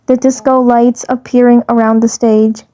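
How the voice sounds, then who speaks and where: A woman reading fan fiction aloud as narrator, halting and repeating phrases as she goes. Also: on the high side, flat delivery, warm tone. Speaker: fandub narrator.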